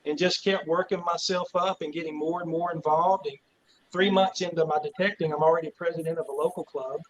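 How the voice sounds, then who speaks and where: A middle-aged man with a Texas accent chats easily as an interview guest, his voice sitting slightly high. He rambles through his story in a folksy way. A faint noise hangs under the recording. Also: steady tone, a little fast. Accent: Texan. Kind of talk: casual interview chat.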